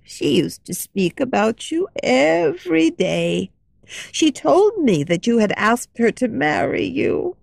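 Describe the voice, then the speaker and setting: A woman's voice: fairly high pitch, very animated, slow pace, gravelly, darkish tone, no room sound, pitched above the performer's own voice, full character voice. A woman playing a cheerful simple old lady. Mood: fond, confiding.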